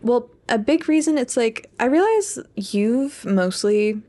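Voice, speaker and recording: A woman's voice: fairly high pitch, animated, slow pace, dark tone. A woman in her twenties co-hosting a podcast in a casual, rambling, candid chat. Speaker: podcast co-host, English PhD student.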